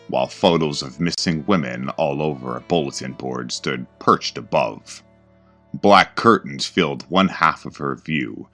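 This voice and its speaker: A man with an extremely deep voice delivers scripted horror narration. He goes slowly and deliberately, dramatic and ominous, with a sharp, forceful tone. Music plays behind him. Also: creaky.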